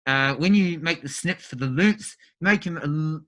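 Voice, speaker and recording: A man's voice: fairly high pitch, normal speed, bright, forceful tone. A man casually explaining practical tips on a group video call. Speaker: guest.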